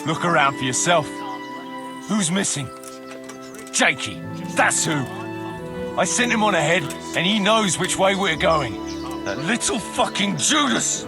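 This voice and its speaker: An actor playing a gruff, commanding leader of a mercenary band in a video game cutscene, blunt and authoritative. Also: male voice, high voice, big pitch swings, measured pace, pauses often, full character voice. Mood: angry, bitter. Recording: music behind the voice. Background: music.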